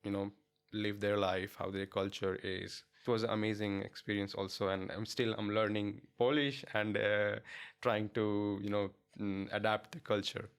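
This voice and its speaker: Guest interviewee: young Indian man, Indian accent, low-ish voice, halting and friendly. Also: level tone, clear, resonant tone. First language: not English. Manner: hesitant, many fillers and restarts, laughs easily. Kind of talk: casual interview chat.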